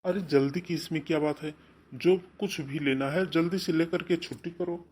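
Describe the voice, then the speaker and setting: An impatient young husband. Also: male voice, on the high side, moderate pace, darkish tone, slightly rough. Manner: brusque, irritated. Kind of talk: cartoon voice acting.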